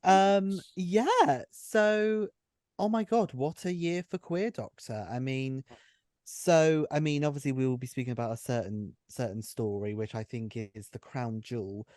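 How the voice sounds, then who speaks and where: A man's voice: mid-range voice, a little slow, a little mellow, dry recording, studio quality. A man talking effusively and emphatically in a casual group chat. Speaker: audio drama writer and performer.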